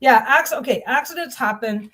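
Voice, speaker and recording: A woman in middle age chats on as she works at her sewing. Her voice sits a little high, and her telling wanders, full of restarts and little asides about the piece in her hands. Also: moderate pace, a bit bright, a little hiss or hum. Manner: chatty, meandering storytelling with frequent restarts and self-interruptions. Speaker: vlogger sewing on camera. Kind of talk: rambling casual chat while sewing.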